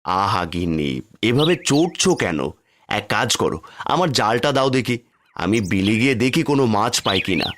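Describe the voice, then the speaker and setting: A man's voice: on the low side, very animated, normal speed, a bit bright. A man voicing a poor, mild village husband and father in a cartoon fairy tale, placating and self-pitying.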